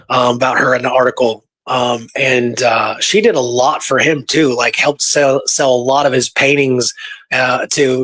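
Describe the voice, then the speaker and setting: A man's voice: mid-range voice, fairly bright tone. A man hosting a casual history talk, partly reading from notes, with an informal, rambling way of thinking aloud. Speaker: show host presenting researched history.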